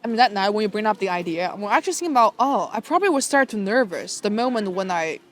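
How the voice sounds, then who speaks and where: A speaker from Shanghai with a Chinese accent, mid-pitched, in a casual podcast chat. English is not their first language, so they hesitate, restart and fill gaps with "like" and "uh" while searching for words. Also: lively intonation, fast, a little hiss or hum. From Shanghai, China. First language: Chinese (Shanghainese, Mandarin). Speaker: podcast co-host.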